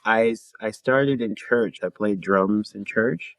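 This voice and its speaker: A man from Canada, a guest musician in a podcast interview, talking in a relaxed, laid-back way with fillers and small laughs. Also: fairly low pitch, slow pace. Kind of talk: casual interview talk.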